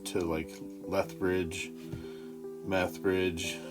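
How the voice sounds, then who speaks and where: A man chatting casually in a halting, rambling, profane way. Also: deep voice, little pitch movement, low-quality recording. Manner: halting, profane, frequent throat-clearing. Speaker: amateur stand-up comedian. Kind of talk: rambling casual vlog chat. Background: guitar music.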